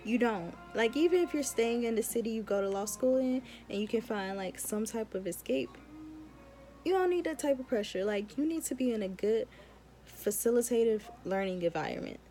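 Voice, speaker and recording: A young woman talks in a casual, chatty vlog style at a middle pitch. She is informal and emphatic, repeating words for effect as she gives advice like a student talking to friends. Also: normal speed, dark tone, faint music behind. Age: young adult. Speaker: law student vlogger.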